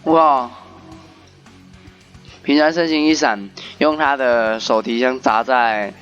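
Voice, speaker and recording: A man with a fairly high voice comments on a game he is playing. He narrates casually and reacts with exclamations, on a slightly muffled lo-fi mic over soft game music. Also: steady tone, slow. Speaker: gaming video commentator. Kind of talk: casual playthrough commentary, translating and narrating aloud.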